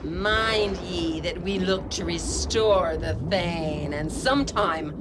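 A woman playing a purposeful, sinister witch in an amateur radio drama, drawing out her archaic lines theatrically. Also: fairly low pitch. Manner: theatrical, drawn-out, cackling. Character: purposeful, sinister witch cackling over cauldron. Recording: loud storm-like background noise.

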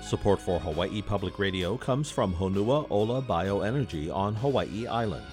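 A man's voice: sits low, expressive, normal speed, a little mellow, soft background music. A man reading a scripted sponsorship announcement as a radio announcer.

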